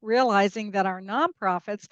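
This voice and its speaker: A woman's voice: mid-range voice, normal speed, no room sound, lo-fi sound. A woman who grew up in Peru and Mexico, explaining her views emphatically in a conversational interview, often checking in with the listener. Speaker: podcast guest, international corporate lawyer.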